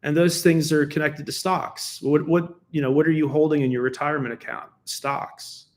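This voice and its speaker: A man's voice: a little high, flat delivery, moderate pace, darkish tone. Man ranting in solo commentary. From United States. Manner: argumentative, defensive, laughs between points; conversational with fillers and restarts. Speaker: political commentator.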